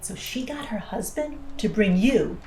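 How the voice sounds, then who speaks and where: A woman's voice: mid-range voice, expressive, unhurried, darkish tone, roomy sound, a little hiss or hum, lightly acted, low-quality recording. A woman playing a cocky, sardonic pilot in an audio drama, with a dry, blunt, teasing delivery. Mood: wry, probing. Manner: dry, sarcastic, blunt, foul-mouthed teasing. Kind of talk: naturalistic audio drama dialogue.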